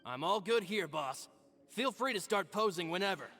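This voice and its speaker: A bright, high male voice of a devoted right-hand man in a fantasy game. He is formal and courteous, always deferring to his boss, with music in the background. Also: expressive, normal speed, full character voice. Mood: polite, eager. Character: loyal, polite male subordinate to a boss. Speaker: video game character. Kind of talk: game voice acting, formal dialogue.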